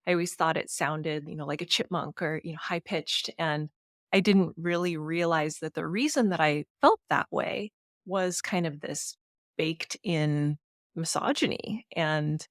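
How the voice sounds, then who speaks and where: A woman with a naturally slightly low voice, co-hosting a casual book-discussion podcast and talking thoughtfully with many fillers. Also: a little slow, no room sound, clean studio sound. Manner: thoughtful, with frequent "you know" and "um". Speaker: podcast co-host.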